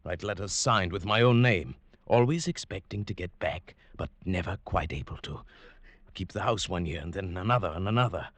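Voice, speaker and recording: An actor playing a middle-aged British professor, a coldly methodical and smug murderer, in a precise, theatrical 1940s radio style. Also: male voice, deep voice, normal speed, a bit bright, no room sound. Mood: gloating. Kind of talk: 1940s radio drama acting and narration. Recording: vintage 1940s radio with organ-era music.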